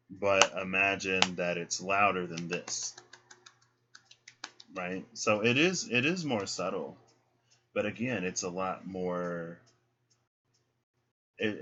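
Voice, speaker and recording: A man giving a casual spiritual tarot reading, explaining each card in a relaxed way and often tagging "right?" onto his points. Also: low-pitched, takes it slowly, long pauses. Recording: dull lo-fi sound.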